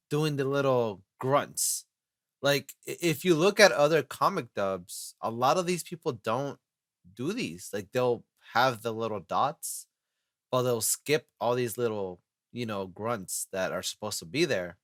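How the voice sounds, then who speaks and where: Bright-voiced man hosting a casual chat. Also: medium pitch, animated, unhurried, dry recording, clean studio sound. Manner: rambling, frequent "you know" fillers. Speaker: host and casting director.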